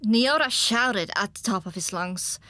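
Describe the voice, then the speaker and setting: A woman narrating a scripted first-person story for an audiobook in an expressive storytelling manner. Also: medium pitch, a little slow, no echo.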